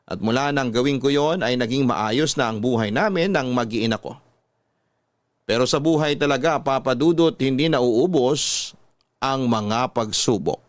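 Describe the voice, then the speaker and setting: A man's voice: mid-range voice, measured pace, no echo, clean studio sound, natural voice. A Filipino man playing a regretful working-class father, telling his story in an earnest, confessional radio-drama narration. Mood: regretful, confiding.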